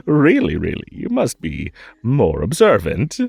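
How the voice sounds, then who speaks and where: An unhinged, possessive hare host for a theatrical audio drama. The voice is gravelly and creaky, leaping all over in pitch, in a close, dry sound. He stammers and giggles, veering between gushing sweetness and menace. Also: wildly swooping pitch, slow, darkish tone, no echo, full character voice. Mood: gushing, flirty.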